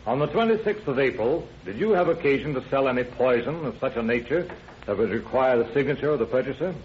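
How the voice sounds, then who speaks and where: A man's voice: mid-range voice, lively intonation, fast talker, natural voice. A man playing a formal, methodical district attorney in an old-time radio drama. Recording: muffled, noisy vintage radio recording.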